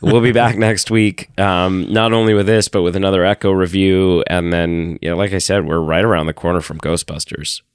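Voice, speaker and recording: Guy with a stuffy nose and a hoarse voice, lowish pitch, dry sound, joking around on a podcast. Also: steady tone, normal speed, few pauses, no room sound. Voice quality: nasal. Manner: teasing, sarcastic banter. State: hoarse, losing his voice. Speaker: podcast co-host. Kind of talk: casual chat.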